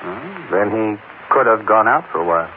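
Brief: easygoing, shrewd newsman, lowish voice with big swings, vintage radio mystery. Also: very animated, normal speed. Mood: shrewd, wary. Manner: casual, colloquial, questioning. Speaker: lead actor in a radio drama. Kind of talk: old-time radio drama acting. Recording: noisy, narrow-band old radio broadcast.

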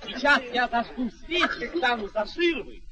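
Very high-pitched showy puppet-master. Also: male voice, animated, a little slow, a loud crowd, heavy background noise. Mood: boastful, proclaiming. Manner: barker-like, ceremonious, proclaiming. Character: showy, boastful male travelling puppet-show master. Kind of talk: mid-century radio theatre acting.

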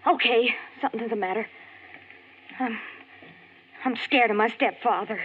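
An actress playing a frightened young woman in a 1940s radio drama, halting and pleading. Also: on the high side, lightly acted. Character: frightened young woman, Francis, fleeing stepfather. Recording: tinny old radio broadcast.

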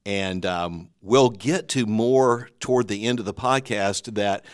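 A man's voice: on the low side, a little slow, smooth, no room sound, clean studio sound. A man with a Southern US accent hosting a church podcast, speaking earnestly with frequent fillers. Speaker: podcast host.

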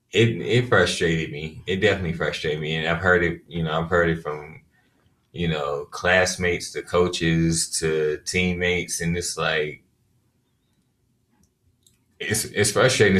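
Low-pitched male co-host, thoughtful and measured, casual conversation. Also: fairly bright tone. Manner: measured, reflective, gives advice. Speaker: podcast co-host. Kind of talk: casual reflective chat.

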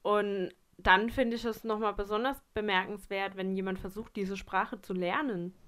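Woman, smooth resonant voice, measured, articulate talker. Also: mid-range voice, fairly even intonation, a bit bright. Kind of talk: casual chat.

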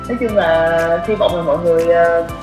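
A woman's voice: on the low side, fairly even intonation, fairly quick. A young woman with a southern Vietnamese accent, chatting casually as a podcast guest and thinking aloud with repeated phrases. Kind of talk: casual conversational podcast chat. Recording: roomy echo, faint music behind. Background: faint music.

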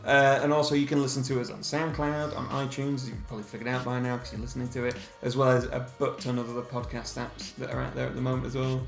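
A man's voice: mid-range voice, flat delivery, quick pace, creaky, few pauses. A man co-hosting a film podcast, chatting casually and joking. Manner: laughing, joking banter. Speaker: podcast co-host. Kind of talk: casual chat. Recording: echoey room with music underneath.

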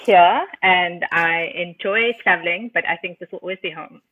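A woman's voice: fairly low pitch, moderate pace, sharp tone, slightly rough, highs cut off. A South African woman in her thirties, a guest artist in a casual podcast interview, speaking thoughtfully with frequent ums and restarts.

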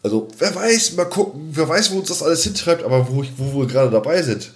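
A man co-hosting a podcast, chatting casually in a colloquial, rambling and somewhat halting way. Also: on the high side, animated. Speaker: podcast co-host. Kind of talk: casual chat about science fiction.

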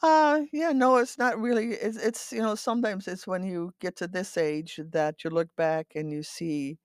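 A woman in her eighties from the Netherlands, reminiscing in a halting, rambling way as an interview guest, often repeating words and checking for agreement. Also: medium pitch, no echo, studio quality. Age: 80s. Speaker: interview guest telling her life story. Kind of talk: reminiscing, conversational storytelling.

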